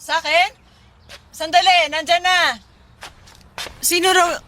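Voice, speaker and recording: A woman's voice: extremely high pitch, fairly even intonation, slow, sharp tone, no room sound, heavily acted. A female actor playing a working wife and mother with something to hide, in a radio drama. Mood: startled, evasive. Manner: hesitant, stammering when cornered.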